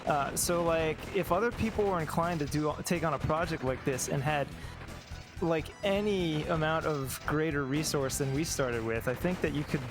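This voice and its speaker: Man, fairly high voice, casual discussion, hesitant thoughtful phrasing, clear game music behind. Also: moderate pace. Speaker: fan translation and dub team member. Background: game music and sound effects.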